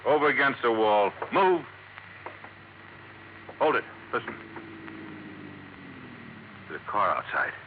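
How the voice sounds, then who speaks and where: A man's voice: mid-range voice, sing-song, slow pace, pauses often. Through a dull, slightly noisy old radio recording comes an American gangster. He is mocking and menacing, taunting in tough slang in the manner of a 1950s crime serial. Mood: threatening.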